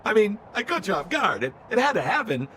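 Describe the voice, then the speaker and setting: A man's voice: high voice, very animated, a little fast, sharp tone, a little hiss or hum, full character voice. A man voicing a slick, theatrical male con-man relic dealer in a video game, with glib, wheedling salesman patter. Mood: mock wounded.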